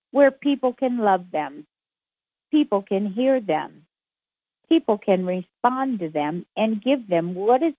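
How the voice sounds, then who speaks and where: A woman speaking a channeled message very slowly, in measured and formal phrases. Her voice comes over a tinny phone line with a dry, close sound. She keeps an even, deliberate delivery. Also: mid-range voice, no room sound.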